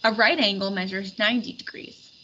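A woman's voice: mid-range voice, fairly even intonation, a little fast, pushed and bright, lo-fi sound, slight background noise, natural voice. A woman voicing an earnest, anxious young male squire in a storybook read-aloud. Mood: earnest explaining.

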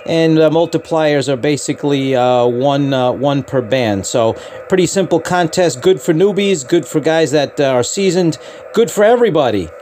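A man's voice: a little high, expressive, moderate pace, darkish tone. A man who operates amateur radio, speaking casually and calling out call signs. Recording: clear background hiss and radio noise. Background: ham radio static and chatter.

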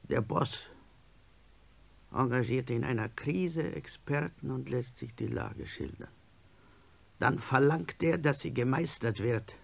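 Gravelly, domineering sick tycoon. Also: male voice, medium pitch, heavily acted. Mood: commanding. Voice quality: gravelly, husky. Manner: deliberate, sardonic, insistent. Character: ailing, domineering male industrialist, stubborn and coolly proud. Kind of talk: German radio play acting.

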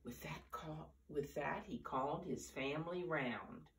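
Older woman reading slowly, very expressively. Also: deep voice, vocal fry, a little hiss or hum. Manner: animated, deliberate, drawn-out emphasis for small children. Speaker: storyteller reading to preschoolers. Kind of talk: expressive picture-book read-aloud. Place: living room, indoors. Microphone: camera a few feet away. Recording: roomy, distant camera microphone.

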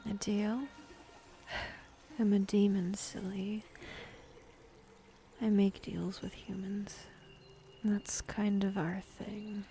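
A woman playing a bossy, jaded female demon in an ASMR roleplay, speaking softly and often half-whispering, with dismissive sighs. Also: mid-range voice, flat delivery, drawn-out words, pauses often, slight background noise. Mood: aloof, softening.

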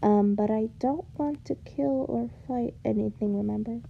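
Woman voicing a naive, high-pitched robot. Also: moderate pace, gravelly, husky, narrow, tinny audio. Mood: reluctant. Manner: earnest, pleading, hesitant. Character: naive, gentle, reluctant young robot fighter. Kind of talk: amateur comic dub.

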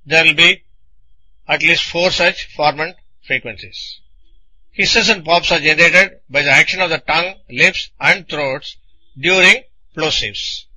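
A man narrating a scripted technical lecture in a deliberate, didactic manner, explaining things in short phrases. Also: on the high side, sharp tone, poor audio.

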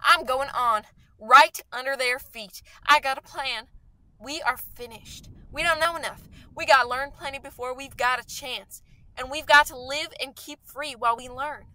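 A woman's voice: high voice, normal speed, bright, forceful tone, no echo, rough recording. A woman playing a fervent, scheming artilleryman survivor in a radio play, talking in an insistent, slangy, folksy way. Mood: defiant.

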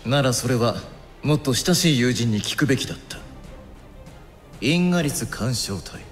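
A man's voice: mid-range voice, lively intonation, measured pace, lightly acted. Young male game villain, voiced by a man: icy, pompous, analytical, medium register, long reverb over music. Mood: cold, threatening. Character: cold, haughty, intellectual young male villain. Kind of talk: anime game cutscene acting.

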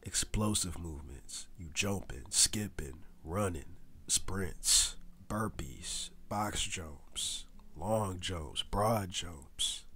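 A man's voice: sits low, very slow, pushed and bright, breathy edge. A young man giving a casual, slangy motivational voice-over about training, talking straight to his viewers like a coach. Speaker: fitness vlogger.

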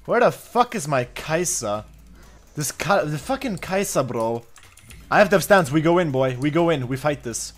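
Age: 20s. Sex: male. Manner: profane, offhand gamer. Pitch: raised. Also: animated, moderate pace. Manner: profane, offhand, thinking aloud. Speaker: game streamer. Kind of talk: live gameplay commentary. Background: faint background beat.

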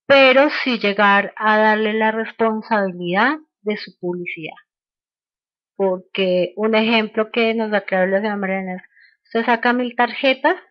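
A woman giving an informal sales training webinar, explaining haltingly and checking in with short questions. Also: mid-range voice, dry recording. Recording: dull, lo-fi online call audio.

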